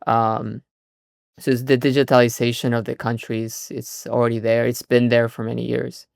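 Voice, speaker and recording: A man in his thirties from Chile, a founder answering interview questions in a relaxed, chatty way. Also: medium pitch, moderate pace, vocal fry, no echo, studio quality. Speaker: guest, startup founder.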